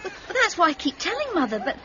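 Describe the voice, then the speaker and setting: An actress playing a discontented but dutiful young daughter in an audio drama, plaintive and polite. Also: female voice, very high-pitched, big pitch swings, fast talker. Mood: complaining. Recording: dull audio with clear background noise.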